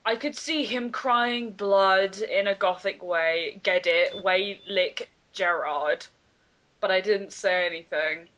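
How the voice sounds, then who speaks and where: A woman's voice: mid-range voice, takes it slowly, a bit bright, dull audio. A woman doing a dramatic read-aloud and bantering among friends in a game. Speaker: player in a dramatic reading game.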